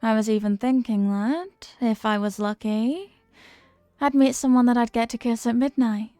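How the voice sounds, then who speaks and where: A woman's voice: on the high side, fairly even intonation, moderate pace, warm tone, dry recording, faint music behind, close to an everyday voice. A woman playing a flirty, playful stranger at a New Year's party in an intimate audio roleplay, with a coy, teasing, murmuring delivery. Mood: flirty, hopeful.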